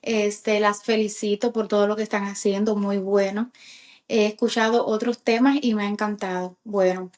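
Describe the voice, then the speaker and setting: A Cuban woman in her thirties with a Cuban accent, a podcast guest telling her life story in a halting way, with many fillers. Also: medium pitch, flat delivery, a little mellow. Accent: Cuban Spanish. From Cuba. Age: 30s. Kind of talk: personal testimony in casual chat.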